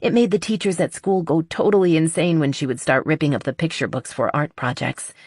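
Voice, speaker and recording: A woman's voice: low-pitched, fairly quick, a little mellow, breathy edge, no room sound. Lady narrator doing a cheery artsy girl in love, chatty and keen, romance audiobook, dry sound. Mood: happy, reminiscing. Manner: chatty and enthusiastic. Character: cheerful, eager, artsy young woman in love. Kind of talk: audiobook character dialogue.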